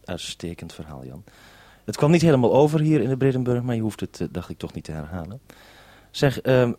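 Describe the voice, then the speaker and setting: A man presenting an unscripted live radio interview in a measured, probing way. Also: mid-range voice, very animated, warm tone.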